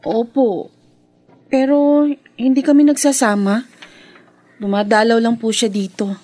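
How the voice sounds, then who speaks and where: A woman's voice: a little high, steady tone, unhurried, dark tone. An actress playing an evasive, sweet-talking young woman in a Filipino radio drama, with a Filipino accent. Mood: defensive, evasive.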